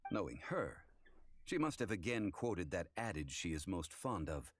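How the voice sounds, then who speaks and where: A man's voice: deep voice, big pitch swings, dry recording. An actor playing an ancient, scholarly male god in human form, speaking formally with dry amusement. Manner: measured, formal, dryly amused. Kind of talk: game voice acting.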